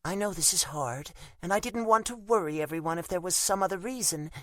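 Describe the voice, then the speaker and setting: Guy playing a worried loving mom in her 50s, audiobook, higher voice, dry and close. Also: a little fast, pushed and bright, no echo. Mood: grave, shaky. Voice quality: shaky, quivering. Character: loving, worried mother in her early fifties.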